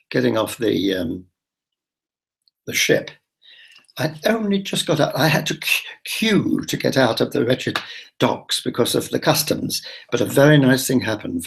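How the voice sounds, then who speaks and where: A man with a gravelly, creaky edge to his voice tells his travel diary in a rambling, confiding way. His tone is husky rather than clear, at a middling pitch. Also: poor audio. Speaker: travel vlogger.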